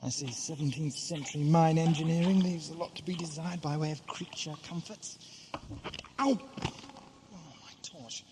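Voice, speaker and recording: A man's voice: fairly high pitch, moderate pace, noisy background, natural voice. Wordy, pompous adventurer played by a man. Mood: muttering, irritated. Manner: wordy, pompous, drily witty. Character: eccentric, conceited time-travelling male adventurer, wry and curious. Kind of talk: audio drama acting. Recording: 1980s amateur audio drama with loud music.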